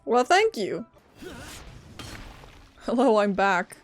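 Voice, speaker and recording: A woman's voice: medium pitch, sing-song, slow, faint music behind. A young woman game streamer giving chatty, self-deprecating commentary in a casual style.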